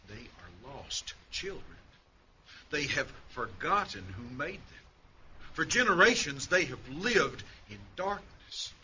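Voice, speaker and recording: A man acting a righteous, wildly inflected ship commander. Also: medium pitch. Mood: solemn piety. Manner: pompous, preaching, authoritarian. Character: stern, pious male spaceship commander, righteous and outraged. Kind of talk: radio play acting. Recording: dull lo-fi recording, clear noise.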